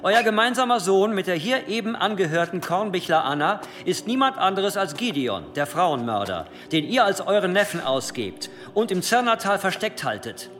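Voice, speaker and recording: A relentless, swooping cathedral provost in a reverberant hall. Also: male voice, high-pitched, very animated, moderate pace, hardly any pauses, a bit bright. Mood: accusing, menacing. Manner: formal, insistent, rhetorical courtly address. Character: cunning, relentless cathedral provost conducting an interrogation. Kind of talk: period radio drama acting. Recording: long hall reverb, music underneath. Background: dramatic music.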